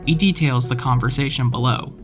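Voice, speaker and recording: A man narrating a scripted horror story for his video essay. He has a mid-range voice and a steady storytelling delivery. Faint music plays underneath. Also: medium pitch, fairly quick. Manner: reads quoted messages with rising intensity.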